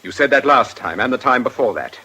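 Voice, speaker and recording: An actor playing a guarded, quietly defiant older man in a radio drama, speaking in a clipped, formal way. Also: medium pitch, animated, brisk, breathy edge. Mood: firm defiance. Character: guarded, stern older male blackmail victim, quietly defiant.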